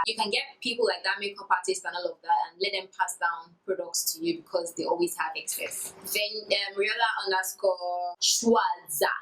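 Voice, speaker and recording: A woman's voice: mid-range voice, fairly bright tone, rough recording. A woman in her twenties, a beauty YouTuber, answering viewer questions in a candid, chatty vlog with a sing-song lilt. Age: 20s. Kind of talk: casual Q&A vlog.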